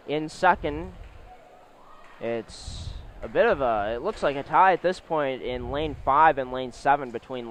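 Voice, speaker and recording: A man calling a swim meet live as play-by-play commentator, with measured announcer phrasing. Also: a little high. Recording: steady clear background venue noise. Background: swim meet ambience.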